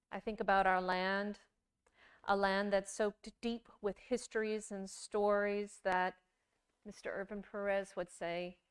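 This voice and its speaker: A woman giving a scripted storytelling performance, with deliberate, measured phrasing and dramatic pauses. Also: medium pitch, smooth. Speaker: monologue performer.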